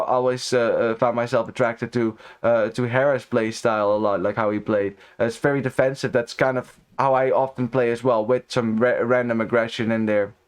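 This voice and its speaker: A young Dutch man in his twenties casting a strategy game live, chatting with viewers and rambling with many restarts. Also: medium pitch. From Netherlands. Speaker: esports caster. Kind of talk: live game commentary with chat banter.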